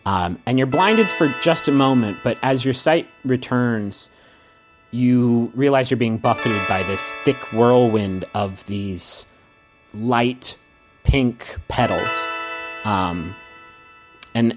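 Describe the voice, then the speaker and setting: A man narrating a tabletop game as game master, halting and dramatic, improvising as he goes with pauses. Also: mid-range voice. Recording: music under the voice. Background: background music.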